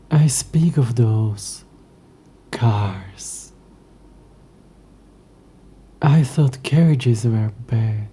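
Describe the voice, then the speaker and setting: A man playing a haughty, possessive ancient demon in a scripted audio roleplay, with a hushed, breathy voice and dry, condescending, formal speech. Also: medium pitch. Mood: disgusted grumbling.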